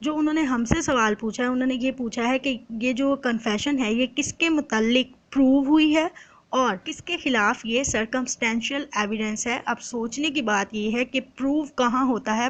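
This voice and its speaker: Female lecturer, higher-set voice, didactic exam-prep explainer delivery. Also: muffled recording. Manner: didactic, poses questions then answers them, slowly reads out English quotations. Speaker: law lecturer.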